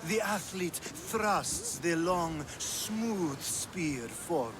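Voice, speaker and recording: Flirty nobleman, slow, sing-song, teasing, ambient noise behind. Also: on the high side, expressive. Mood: flirtatious. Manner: teasing, suggestive, drawn-out delivery. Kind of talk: video game cutscene acting. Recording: clear background ambience noise.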